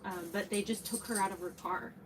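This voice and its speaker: A woman's voice: mid-range voice, fairly even intonation, a little fast, far from the mic, a crowd in the background, low-quality recording. A woman chatting as a guest, telling a story in a rambling way with lots of fillers. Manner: rambling, many "like"s. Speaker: guest, true crime video creator. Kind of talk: casual chat, storytelling.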